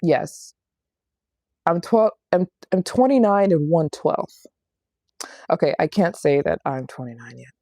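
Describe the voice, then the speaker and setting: Woman, late twenties, low mellow voice, casual livestream chat, playful and chatty, travel vlogger. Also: lively intonation, a little slow, pauses often, dry recording, clean studio sound. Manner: chatty, playful, repeats phrases for emphasis. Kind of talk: casual livestream chat answering viewer questions. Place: small apartment living room in Japan.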